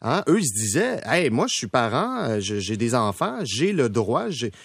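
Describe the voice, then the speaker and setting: A man's voice: mid-range voice, very animated, normal speed, vocal fry, husky, no echo. A man arguing as a panel guest in a rhetorical, emphatic way. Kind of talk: panel debate.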